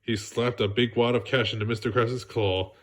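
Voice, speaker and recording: A man's voice: medium pitch, flat delivery, moderate pace. A man narrating a storybook read-aloud with a congested, stuffy voice. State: congested, coughing.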